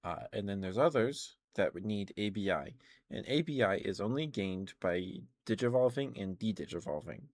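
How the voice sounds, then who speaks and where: Male ASMR streamer, low register, casual and rambling, hesitant delivery with frequent self-corrections. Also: expressive, normal speed, dry recording, studio quality. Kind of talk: casual ASMR chat about video games.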